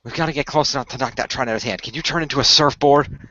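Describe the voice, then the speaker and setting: A man plays an earnest, tactical hero in an audio roleplay. His voice is forceful and mid-pitched, talking plans through aloud. The recording is dry and a little lo-fi. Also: racing, dry recording, natural voice. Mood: planning. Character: earnest, tactical young male hero with battle rings.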